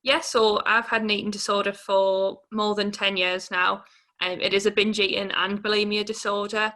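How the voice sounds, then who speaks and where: A woman in her twenties speaks as an interviewee about her own life. Her voice is bright and fairly flat in pitch. She talks informally and hesitantly, with plenty of casual fillers. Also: moderate pace. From Newcastle upon Tyne, England. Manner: informal, hesitant, peppered with 'like'. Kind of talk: candid personal account in an interview.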